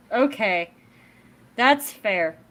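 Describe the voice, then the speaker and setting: Giggly slow-talking woman panelist. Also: mid-range voice. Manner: giggly, laughs often. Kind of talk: casual panel chat. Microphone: online call studio.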